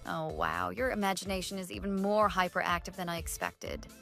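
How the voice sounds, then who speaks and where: A woman's voice: lowish voice, sing-song, brisk, creaky, fairly bright tone, soft background music, full character voice. An actress playing an exasperated young female coworker, blunt, sarcastic and dismissive, in a scripted online drama. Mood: scornful.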